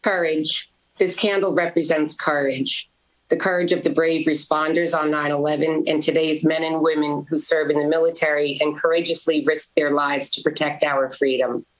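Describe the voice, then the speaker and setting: A woman's voice: low voice, steady tone, normal speed, rough recording. A woman reading a formal, scripted commemorative passage. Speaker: ceremony reader.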